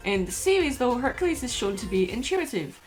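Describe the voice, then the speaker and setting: A woman's voice: a little high, big pitch swings, fairly quick, soft background music. A woman hosting and narrating a scripted video essay.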